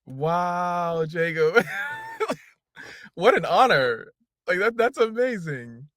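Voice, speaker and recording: A man, a singer, guesting on a podcast, chatting warmly and gratefully and laughing often. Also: high voice, huge pitch swings, very slow, dry recording, clean studio sound. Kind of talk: casual interview chat.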